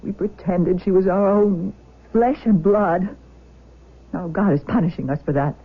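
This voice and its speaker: Anxious, husky middle-aged mother. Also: medium pitch, a little slow. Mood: remorseful. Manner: hesitant, fretful. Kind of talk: 1970s radio drama acting. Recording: thin phone-like old radio recording.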